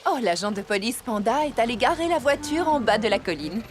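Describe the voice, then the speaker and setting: High-voiced squirrel policewoman played by a woman. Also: lively intonation, a little fast, a little hiss or hum, natural voice. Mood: brisk, instructive. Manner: instructive, clearly addressing children. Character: brisk, encouraging female squirrel police officer.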